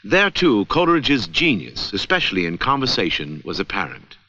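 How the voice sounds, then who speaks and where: A man's voice: lowish voice, very animated, normal speed, bright, forceful tone, dry recording. A man giving scripted narration for an educational film. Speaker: documentary narrator. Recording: dull old 16mm film soundtrack.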